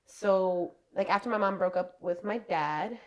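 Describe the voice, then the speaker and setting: A young adult woman co-hosting a podcast in casual chat, chatty and quick to laugh between stories. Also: mid-range voice, a little mellow. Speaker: podcast co-host.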